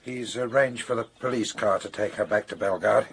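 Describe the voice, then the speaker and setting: An actor playing a keen, courteous police investigator in a radio drama, earnest and matter-of-fact. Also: male voice, on the low side, fairly even intonation, fairly quick, creaky, slight background noise.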